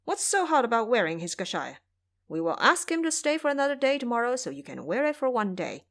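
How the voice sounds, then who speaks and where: A woman's voice: a little high, very animated, fairly quick, a bit bright, voice pushed up. A woman playing a brash, impatient young male monk who talks in a scolding, emphatic way in an audio drama. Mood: exasperated.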